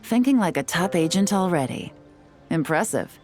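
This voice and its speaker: A woman voicing a cool, confident female spy in a game, smooth and teasing as she lays out her plans. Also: fairly low pitch, expressive, normal speed, natural voice. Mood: explaining. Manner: smooth, teasing, self-assured. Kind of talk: video game voice acting. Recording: game audio with soft music behind.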